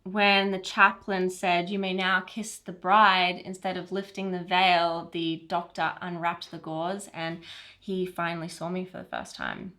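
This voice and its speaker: A woman's voice: on the low side, little pitch movement, measured pace, pushed and bright. A woman playing a chatty newlywed who confides a romantic tale in a conversational comedy monologue. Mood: dreamy, confiding.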